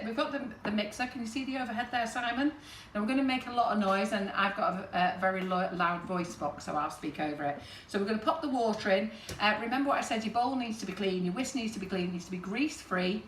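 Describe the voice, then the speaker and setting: A woman's voice: mid-range voice, fast, a bit bright, distant mic. A woman hosting a live baking demonstration, chatty and rambling with frequent jokes. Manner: chatty, rambling, jokey, laughs often. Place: kitchen. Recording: echoey kitchen, voice from off the mic, background noise.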